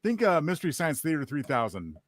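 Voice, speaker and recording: Guy with a pretty high voice, casual chat, dry jokes. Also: huge pitch swings, brisk, no echo. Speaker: participant in a group listening party.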